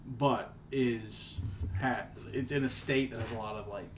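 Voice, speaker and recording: A man with a southern US accent co-hosting a laid-back podcast, his voice sitting in an ordinary middle pitch. He banters playfully, dropping into low mumbles and then bursting out loud. A faint hiss runs under the recording. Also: steady tone, unhurried. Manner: playful, jumps between mumbling and loud exclaiming. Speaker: podcast co-host. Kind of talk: casual chat.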